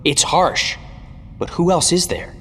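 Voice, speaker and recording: Brash young hero in reverb. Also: male voice, a little high, measured pace, a bit bright. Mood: urgent, regretful. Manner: brash, direct. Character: young male superhero, brash, streetwise, earnest. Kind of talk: audio drama acting. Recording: reverberant, noisy ambience behind.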